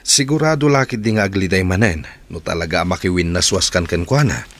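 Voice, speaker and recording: An actor with an Ilocano accent playing a loyal, protective friend, emphatic and exhorting, in a radio drama. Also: male voice, sits low, fairly quick, slight background noise, lightly acted. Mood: persuading. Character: loyal, protective male friend, urging and scolding.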